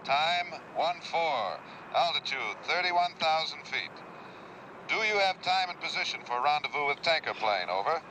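A man's voice: on the high side, animated, a little slow. A man playing a military aircraft commander in a 1960s drama, decisive, with clipped, authoritative radio phrasing. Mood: businesslike. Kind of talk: 1960s television drama acting, radio procedure talk. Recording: noisy old TV soundtrack, loud engine drone.

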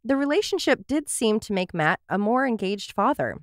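A woman's voice: a little high, big pitch swings, normal speed, no room sound, studio quality. A woman hosting a true crime podcast, reading her case notes with wry, sarcastic asides. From Portland area, USA.

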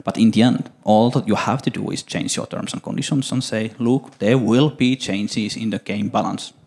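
A middle-aged man with a Finnish accent answers audience questions on a conference stage into a handheld mic, measured and hesitant, with many fillers. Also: fairly low pitch, fairly even intonation, gravelly, darkish tone. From Finland. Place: conference stage, large hall.

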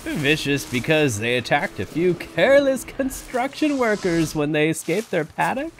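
A man's voice: fairly high pitch, big pitch swings, moderate pace, a little hiss or hum. A man playing a delighted elderly park owner. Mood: persuasive. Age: older. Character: excitable elderly male park owner, grandfatherly. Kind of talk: fan audio drama acting.

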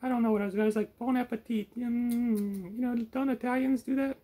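A man voicing a silly, cheeky, food-obsessed puppet creature in a squeaky, strained falsetto. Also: fairly quick. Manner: excitable, cheeky, teasing. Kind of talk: improvised puppet show.

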